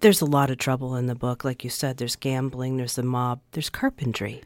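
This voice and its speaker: A woman's voice: rumbling low, fairly even intonation, moderate pace, darkish tone, no room sound. Dry, close-miked American woman interviewer. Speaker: radio interviewer and host. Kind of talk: scripted radio introduction and interview.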